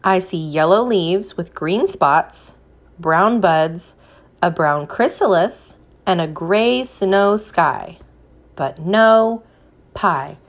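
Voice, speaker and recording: A woman reading a picture book aloud like a teacher, pausing to put questions to young listeners and encourage them. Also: lowish voice, lively intonation. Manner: slow, deliberate, encouraging prompts.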